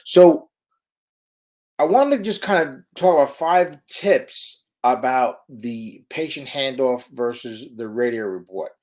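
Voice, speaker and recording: Guy doing a live stream lesson for ems crews, middle pitch, talks loose with lots of ums and "right?". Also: expressive, slow pace, a little mellow. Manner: chatty, many fillers and tag questions. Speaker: EMS educator. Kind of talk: casual live-stream teaching.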